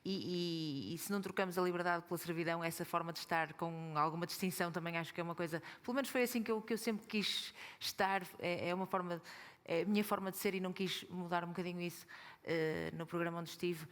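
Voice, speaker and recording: Quick-talking woman. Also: fairly low pitch, steady tone, in a large hall. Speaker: panelist. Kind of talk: panel discussion at a club event.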